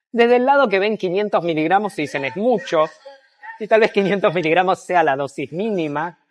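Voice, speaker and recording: An Argentine man giving explanatory interview answers as a psychiatrist, in a didactic way with emphatic repetition and rephrasing. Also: a little high.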